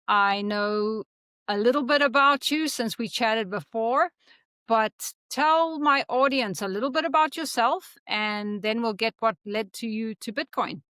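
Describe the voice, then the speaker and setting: A woman's voice: a little high, a little slow, sharp tone, dry recording. A woman hosting a podcast interview, asking friendly, brief questions. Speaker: podcast host.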